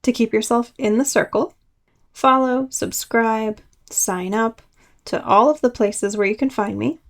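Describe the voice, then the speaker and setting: A woman's voice: a little high, unhurried, no room sound, clean studio sound. A woman hosting a podcast, telling personal stories from a script with casual, conversational asides. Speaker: podcast host.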